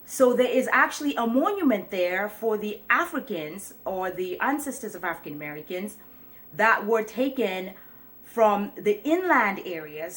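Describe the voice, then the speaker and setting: A young woman from Kenya talking earnestly to her viewers in a casual, reflective vlog, with many pauses and restarts. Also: medium pitch. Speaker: vlogger. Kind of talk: casual vlog talk to camera.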